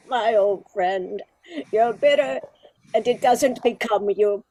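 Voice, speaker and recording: A woman's voice: fairly high pitch, takes it slowly, a little mellow, poor audio. A woman playing a wistful, genteel old Christmas carol come to life, with theatrical delivery in a radio play. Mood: nostalgic. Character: wistful, prim old female Christmas carol sheet music.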